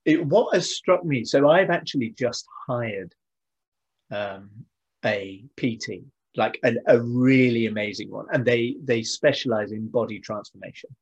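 A man's voice: mid-range voice, a little slow, muffled recording. Earnest man explaining his ideas. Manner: earnest and explanatory, with many fillers and restarts. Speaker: guest. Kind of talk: casual interview chat.

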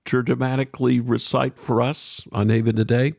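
A man hosting a radio interview podcast, speaking in a measured way with emphatic pauses. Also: mid-range voice, flat delivery, vocal fry, a little mellow, no echo, studio quality. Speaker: podcast host.

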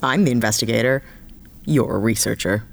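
A woman's voice: very deep voice, brisk, gravelly, music in the background. A woman playing a tough, sardonic private investigator in a sci-fi audio drama, curt, dry and bossy.

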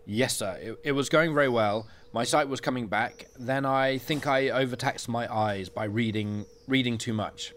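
A man's voice: medium pitch, normal speed, hardly any pauses, fairly bright tone, slight background noise, close to an everyday voice. A man voicing a young male soldier who is earnest and fanatical, with a stammering, insistent way of speaking.

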